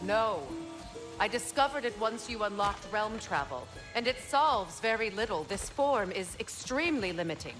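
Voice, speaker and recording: An actress playing a proud, wary goddess in a video game, speaking in a curt, formal and commanding manner. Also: female voice, mid-range voice, animated, normal speed, a bit bright, soft background music, close to an everyday voice, rough recording. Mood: bitter, wary. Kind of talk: dramatic video game acting.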